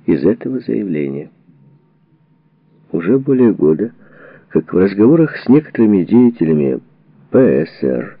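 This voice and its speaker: Male audiobook narrator, formal and deliberate, lively swings in pitch, some vocal fry, band-limited phone-like recording. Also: no echo. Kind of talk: scripted narration of a historical book. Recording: narrowband phone-like sound, radio-like.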